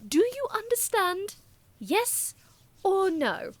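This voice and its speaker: A woman's voice: very high-pitched, very animated, slow pace, full character voice. A woman voicing a fussy, commanding noble mother with a theatrical manner. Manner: theatrical, bossy.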